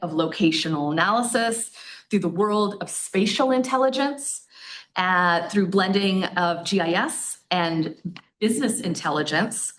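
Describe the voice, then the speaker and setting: A woman's voice: mid-range voice, a little slow. Woman hosting a campus event. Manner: reads bios, with filler ums and small self-corrections. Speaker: event host introducing speakers. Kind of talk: scripted introduction at a live event. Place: lecture hall at an in-person event.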